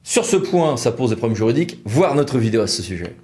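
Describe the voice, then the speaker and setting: Lively French man explaining the rules. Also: mid-range voice, fast talker. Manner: didactic, asks questions then answers them colloquially. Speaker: legal explainer presenting a law firm's videos. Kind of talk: informal spoken legal explanation to camera.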